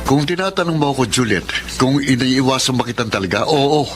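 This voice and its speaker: A man's voice: mid-range voice, animated, fairly quick, music in the background, lightly acted. A man playing a guilt-ridden married man in a radio drama, firm and defensive.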